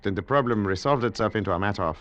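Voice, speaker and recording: An actor playing an urbane, wry colonial district official with a deliberate, formally polite manner, in old radio drama style. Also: male voice, fairly low pitch, animated, fairly bright tone, muffled recording, slight background noise. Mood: quietly ominous. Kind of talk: 1940s-50s radio drama acting.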